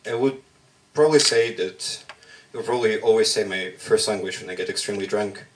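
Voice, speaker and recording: A man whose first language is Russian performs a spoken-word poem in measured, halting short phrases at a slam poetry workshop. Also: on the low side, fairly even intonation, recorded from a distance, poor audio.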